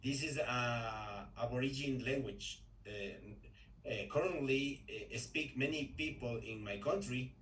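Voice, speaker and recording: Slow hesitant Ecuadorian man, distant and roomy. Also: medium pitch, a bit bright. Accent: Ecuadorian Spanish. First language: Spanish. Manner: hesitant, many fillers and restarts. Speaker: English learner. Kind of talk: halting conversation in English class. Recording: echoey room, distant mic, faint noise.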